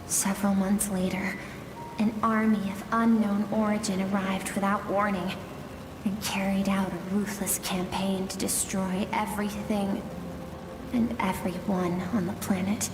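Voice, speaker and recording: An actress playing a grave, sorrowful woman in a video game, recounting a tragic past in measured, somber tones. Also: mid-range voice, flat delivery, natural voice. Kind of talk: solemn dramatic storytelling. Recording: echoey long reverb with game music behind.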